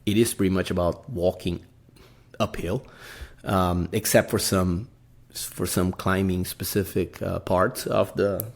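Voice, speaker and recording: A man's voice: sits low, steady tone, measured pace, vocal fry. A man from Brazil with a Brazilian accent, hosting a casual solo podcast and rambling, often tagging his sentences with "right?". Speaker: podcast host.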